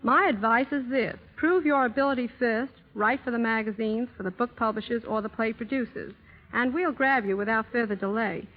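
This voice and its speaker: A woman speaking as an interview guest in a scripted, deliberate 1930s radio style, on a muffled old broadcast. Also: fairly high pitch.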